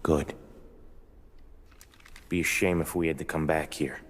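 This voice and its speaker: A man playing a coolly menacing mob gunman in a crime game, calm and intimidating, in a reverberant space. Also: rumbling low, unhurried. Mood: quiet menace. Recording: long echoey hall, faint noise.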